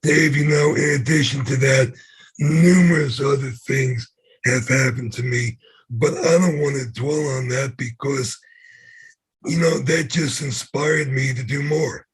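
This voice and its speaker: Middle-aged new york guy, really raspy damaged voice, talks slow and steady. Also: mid-range voice, low-quality recording. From Brooklyn, New York. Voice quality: hoarse, damaged voice. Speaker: podcast guest. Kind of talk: interview storytelling.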